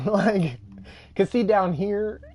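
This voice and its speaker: A man's voice: high voice, expressive, extremely slow, darkish tone, a little hiss or hum. A young man with a Texan Southern accent, chatting casually and rambling while he streams a game, laughing often. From Texas. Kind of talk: casual live-stream chat while gaming.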